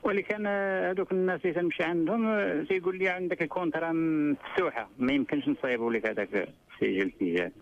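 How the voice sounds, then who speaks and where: A man's voice: on the high side, very fast, gravelly, a little hiss or hum. A Moroccan man in his fifties calling into a radio show, speaking hesitantly and politely. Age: 50s. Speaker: caller. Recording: dull phone line.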